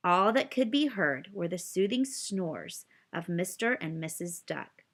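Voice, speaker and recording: Slow-paced woman reading a children's storybook. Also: on the low side. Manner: expressive, addressing young listeners with questions. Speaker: storybook reader. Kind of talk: children's read-aloud narration.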